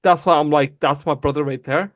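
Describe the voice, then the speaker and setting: A man's voice: a little high, steady tone, no echo. Emphatic Somali-speaking man, arguing hotly. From Canada. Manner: emphatic, fast, calls people bro. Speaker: guest on a livestream debate. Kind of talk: heated casual argument.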